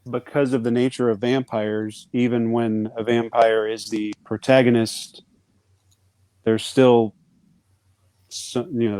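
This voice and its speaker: A man chatting as a panelist, tentative and often pausing to think as he goes. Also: mid-range voice, dark tone, clean tone, dry recording. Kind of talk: casual panel chat.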